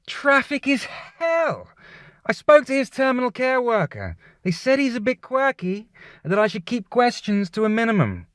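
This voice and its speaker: Woman acting a harried, lively British tech employee. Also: high-pitched. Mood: brisk, weary. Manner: earnest, polite, exasperated. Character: harried, polite tech company employee seeking help. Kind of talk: radio play acting.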